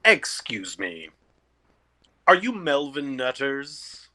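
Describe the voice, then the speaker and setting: Self-important messenger acted by a man, bright crisp attack, swooping pitch, officious comic delivery. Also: wildly swooping pitch, takes it slowly, full character voice. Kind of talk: comedic stage play acting.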